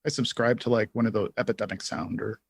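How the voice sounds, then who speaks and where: A man's voice: on the low side, fairly even intonation, quick pace, breathy edge, no room sound. A man co-hosting a livestream tutorial in casual chat, informal and self-deprecating, often saying "like" as he goes. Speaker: livestream co-host.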